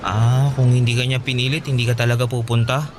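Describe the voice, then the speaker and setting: A man's voice: medium pitch, little pitch movement, quick pace, noisy background, close to an everyday voice. An actor playing an earnest, lovestruck teenage boy from a traveling fair, eager and pleading in a radio drama. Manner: eager, pleading, plainly sincere. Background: music underneath.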